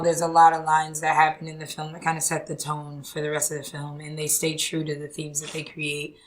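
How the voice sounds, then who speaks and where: A woman's voice: low-pitched, poor audio. A woman co-hosting a casual podcast discussion, hesitant and full of fillers. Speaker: podcast co-host.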